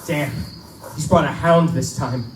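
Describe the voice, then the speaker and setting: A man's voice: a little high, a little slow, close to an everyday voice. An actor playing a desperate but resourceful hunted man, talking tensely to himself in short phrases in a pulp drama. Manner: tense muttered self-narration in short phrases. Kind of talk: live pulp radio-play acting. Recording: echoey live room, distant mic, loud noise.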